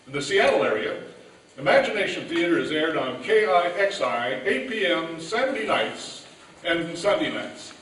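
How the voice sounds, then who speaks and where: A man's voice: on the high side, very animated, moderate pace, a bit bright. A man reading scripted announcements and narration in an old-time radio announcer style on a live stage. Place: large event hall, live stage. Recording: echoey hall, distant mic, faint noise.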